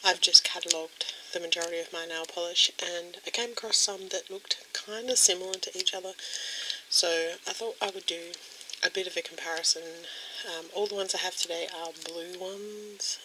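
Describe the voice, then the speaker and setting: A young woman with a croaky voice casually showing nail polish at her desk at home, rambling with lots of ums. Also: on the low side, fairly even intonation, moderate pace. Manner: chatty, rambling with many ums. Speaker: beauty vlogger. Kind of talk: casual product demo. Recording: home recording with clear background noise.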